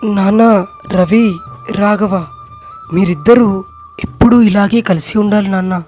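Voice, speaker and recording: A performer acting male family roles in a moral story, with deliberate phrasing and pauses. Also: medium pitch, steady tone, a little mellow, natural voice. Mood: gentle, solemn. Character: dying loving father, and selfish cunning elder brother. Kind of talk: acted story dialogue. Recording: music under the voice. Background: music.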